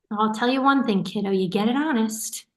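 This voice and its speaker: Woman playing insistent, worried, high-voiced confronter. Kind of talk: virtual staged play reading.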